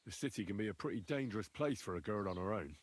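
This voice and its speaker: A man's voice: fairly low pitch, expressive, fast talker, breathy edge, no echo. A man playing a blunt, wary young adventurer down on his luck in video game dialogue. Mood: curious.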